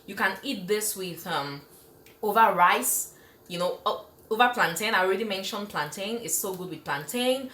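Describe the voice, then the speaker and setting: A woman's voice: mid-range voice, animated, moderate pace, fairly bright tone, reverberant. A woman from Cameroon hosting a live cooking stream in her home kitchen, chatty and enthusiastic, laughing often as she reads out viewer comments. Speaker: cooking show host.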